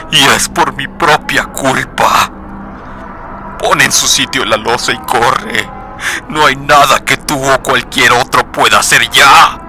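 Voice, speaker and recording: A man's voice: medium pitch, sharp tone, voice pushed up. Man acting a terrified doomed man, piercingly tense. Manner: desperate, pleading, bursting into cries. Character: terrified doomed man urging his friend to flee. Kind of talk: dramatic horror audio story acting.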